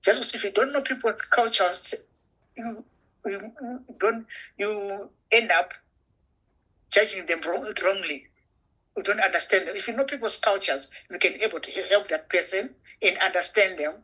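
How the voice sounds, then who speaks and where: Female participant, raspy sharp tone, medium register, halting non-native English. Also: mid-range voice, rough recording.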